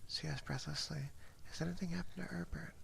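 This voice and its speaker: A man reading a story aloud as ASMR in a soft, hushed, unhurried whisper, close to a binaural microphone. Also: on the high side, fairly even intonation, creaky, a little hiss or hum. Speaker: ASMR streamer.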